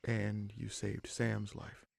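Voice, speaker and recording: A man playing an easygoing, protective bandit leader in a fantasy roleplay audio drama, speaking in a halting, conversational way with a gravelly voice. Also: on the low side, monotone, a little mellow, heavily acted. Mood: sincere, grateful. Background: birdsong, forest ambience.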